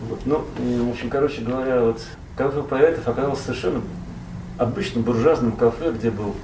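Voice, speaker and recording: A man from Russia, a poet and translator, recalls his past as a guest in a relaxed, rambling conversation full of fillers and self-corrections. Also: medium pitch, fairly quick, roomy sound, distant mic, noisy background.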